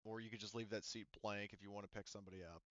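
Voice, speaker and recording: A man running a tabletop roleplaying game as game master, casual and quick to laugh, talking through fillers. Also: on the low side, flat delivery, a bit bright, no echo, dull audio.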